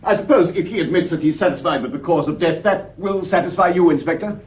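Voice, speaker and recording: A man's voice: on the high side, quick pace, slightly rough. A man acting in a British radio play as an indignant, evasive widowed husband, haughty and agitated, with a British accent. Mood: protesting. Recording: dull lo-fi radio recording.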